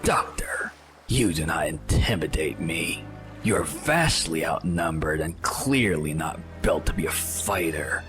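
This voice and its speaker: A menacing captain of ancient sea raiders in an audio drama. His voice is deep and his delivery is unhurried, dripping with haughty contempt. Prominent music plays underneath. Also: expressive. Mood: amused contempt. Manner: slow, deliberate, contemptuous delivery.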